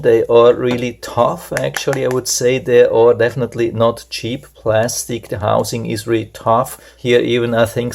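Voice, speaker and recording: A man's voice: lowish voice, vocal fry, darkish tone. A man giving an unscripted hands-on product demonstration, explaining step by step in a repetitive way. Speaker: product reviewer.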